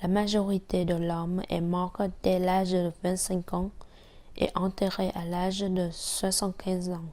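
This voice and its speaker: A young woman from Vietnam, speaking French with a Vietnamese accent, reading a personal essay aloud in a measured way. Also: medium pitch. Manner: slow, even, measured reading.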